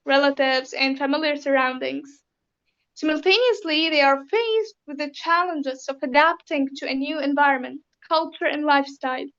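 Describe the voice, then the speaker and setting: Woman, Syrian accent, high voice, careful formal answers, many ums. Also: fairly bright tone, dull audio. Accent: Syrian Arabic. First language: Arabic. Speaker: interview guest. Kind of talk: interview answers.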